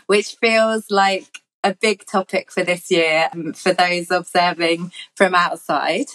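A woman's voice: medium pitch, a bit bright, rough recording. A woman hosting a podcast interview, enthusiastic and welcoming toward her guest. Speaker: podcast host.

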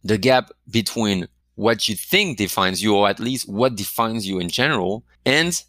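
A man's voice: lowish voice, normal speed, pushed and bright, no room sound. A man giving a reflective coaching talk, asking the listener many questions. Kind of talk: motivational talk to camera.

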